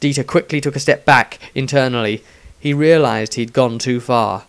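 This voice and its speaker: A man's voice: medium pitch, moderate pace, vocal fry, clean tone. A man reading his own fantasy story aloud as scripted narration.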